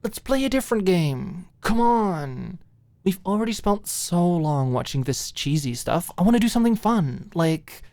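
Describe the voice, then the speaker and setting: A woman's voice: fairly low pitch, normal speed, no room sound, pitched above the performer's own voice. A woman voicing a playful, tipsy young woman with a mischievous, over-eager, teasing manner in an audiobook. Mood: eager, restless. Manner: excitable, teasing.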